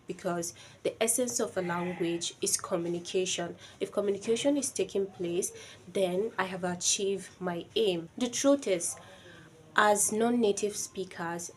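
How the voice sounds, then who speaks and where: A woman's voice: mid-range voice, slight background noise. Nigerian woman speaking earnestly. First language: Yoruba. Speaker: vlogger. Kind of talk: personal video talk to camera.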